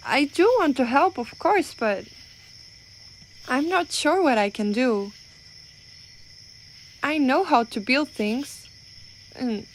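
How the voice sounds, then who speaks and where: A woman playing a clumsy, well-meaning giantess in an ASMR roleplay, rambling and hesitant but gently reassuring. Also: medium pitch, slight background noise. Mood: sheepish, guilty.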